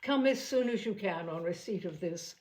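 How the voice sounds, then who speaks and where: A woman's voice: mid-range voice, lively intonation, moderate pace, a little mellow, natural voice. A woman voicing a genteel, playfully dramatic young lady's letter in a mannered way, in a storybook reading.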